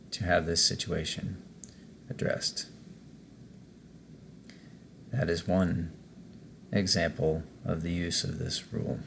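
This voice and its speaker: A man narrating a guided meditation as its host, in a measured way, pausing between short phrases. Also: sits low, gravelly, slight background noise.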